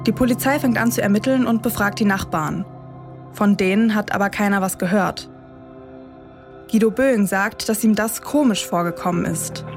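A woman with a slightly high voice narrates a true-crime story as podcast host. She delivers scripted, measured phrases in short statements. Music plays clearly behind her. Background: music bed.

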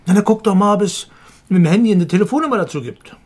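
A man's voice: sits high, expressive, fast, darkish tone. A man playing a wry, matter-of-fact police colleague in a radio drama. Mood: dry, matter-of-fact.

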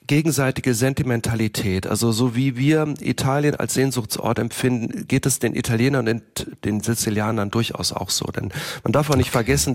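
Hesitant, reflective German man. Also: medium pitch. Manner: reflective, hesitant, many filler sounds. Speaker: interview guest, writer. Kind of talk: thoughtful interview talk.

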